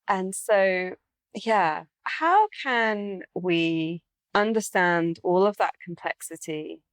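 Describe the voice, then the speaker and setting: A woman hosting a podcast, interviewing in a reflective, conversational way with thoughtful, unhurried phrasing. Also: on the low side, no echo, clean studio sound. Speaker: podcast host.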